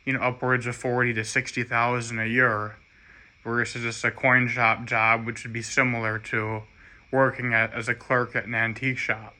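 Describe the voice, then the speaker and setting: An American man giving a rambling, halting personal monologue in an earnest, aggrieved manner. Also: mid-range voice, flat delivery, pushed and bright.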